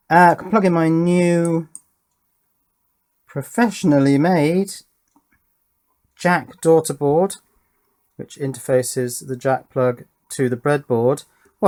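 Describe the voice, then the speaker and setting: A man giving a casual hands-on electronics demonstration, musing aloud with pleased enthusiasm as he works. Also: on the high side. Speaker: electronics hobbyist presenter.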